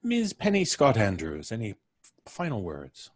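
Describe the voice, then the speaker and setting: Very deep-voiced man hosting a live stream. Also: wildly swooping pitch, unhurried. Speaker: host of a live podcast stream. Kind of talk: unscripted closing remarks to a cast and audience.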